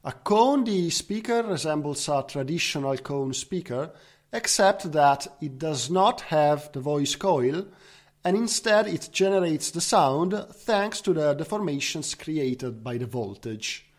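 A man's voice: fairly high pitch, expressive, measured pace. A man presenting scientific research as a conference speaker, in the style of a technical slide talk. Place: recorded online slide talk.

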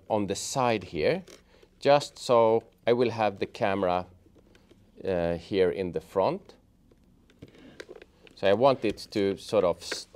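A middle-aged man giving a casual hands-on tutorial, explaining step by step in a halting way. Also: on the low side, darkish tone. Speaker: host of a how-to motorcycle gear channel. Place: indoors, office workshop room at a table.

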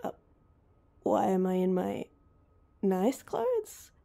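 A woman's voice: mid-range voice, big pitch swings, takes it slowly, creaky, warm tone, full character voice. A woman playing an awkward, heartbroken young woman in an intimate roleplay audio drama, speaking hesitantly and with self-deprecation. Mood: flustered, awkward.

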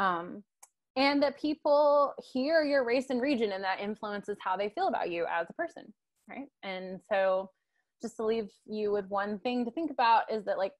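A young woman from Tennessee delivers a lecture over a video call, speaking through earbuds in a room at home. Her voice sits at a middling pitch for a woman. She peppers her points with ums and checks in with "right?". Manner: frequent ums and tag questions like "right?". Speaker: guest lecturer. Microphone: earbud headset on a video call.

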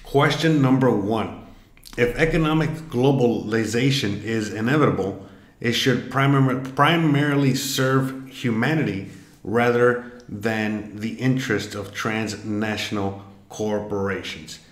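A man's voice: medium pitch, unhurried. A man, musing aloud in a rambling, filler-heavy way while taking an online quiz on a desk webcam. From Miami, Florida, US. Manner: rambling, many fillers and restarts. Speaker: vlogger. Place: home room, indoors. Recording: echoey room.

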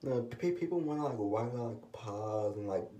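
A man's voice: medium pitch, expressive, moderate pace, dark tone, distant mic. A teenage boy vlogging at home, talking casually to the camera in a rambling way, with a stutter that brings restarts and lots of fillers. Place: small room indoors, at home. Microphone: camera set low, below face. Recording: roomy echo, voice off-mic.

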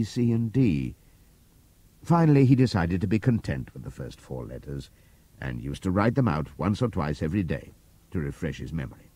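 Low mellow guy narrating a book, lots of rise and fall in his voice, dry close sound. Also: no echo. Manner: measured, expressive storytelling. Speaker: audiobook narrator. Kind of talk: scripted narration.

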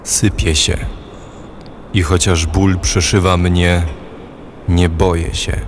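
A man plays a pained, bitter loner yearning to break out of confinement in an audio play. His voice is low, and he recites in very slow, halting, brooding phrases. A faint noise sits behind him. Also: level tone.

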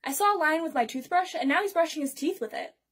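A storybook little girl, acted out by a woman, with a high voice. She is stubborn and imaginative. She insists on her excuses at every turn. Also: expressive, fast talker, a bit bright. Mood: matter-of-fact excuses.

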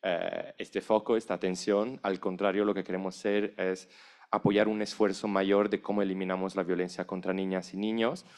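Earnest, lower-voiced man on a panel. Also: level tone, moderate pace, darkish tone. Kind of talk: conference presentation. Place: conference room on stage. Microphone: handheld mic.

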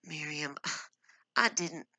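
A man's voice: a little high, lively intonation, extremely slow, bright, forceful tone, no room sound, heavily acted. A woman voicing a bitter, hot-tempered husband who speaks harshly through gritted teeth, in a storybook reading. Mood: pleading.

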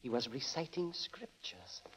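An actor playing a self-assured elderly gentleman witness in a 1940s radio drama, precise and emphatic. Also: medium pitch, unhurried, close to an everyday voice, rough recording. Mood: insistent.

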